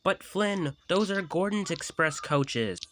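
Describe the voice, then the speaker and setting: A man's voice: high voice, expressive, slow pace, a bit bright, slight background noise, voice pushed up, full character voice. A man voicing a small, earnest male tank engine.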